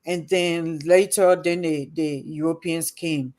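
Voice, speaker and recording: A woman hosting an interview, talking earnestly and haltingly with many fillers. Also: sits low, fairly even intonation, darkish tone. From Liberia. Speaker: host. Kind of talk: casual interview chat.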